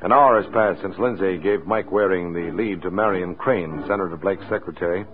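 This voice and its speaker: A man's voice: sits low, moderate pace, natural voice. A man narrating a 1940s radio drama as its announcer. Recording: tinny old radio recording. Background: loud organ music.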